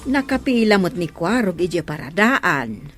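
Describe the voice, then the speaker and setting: A woman's voice: on the low side, sing-song, measured pace, loud music behind the voice. A woman playing a gossipy, concerned village friend with an Ilocano accent in a radio comedy drama.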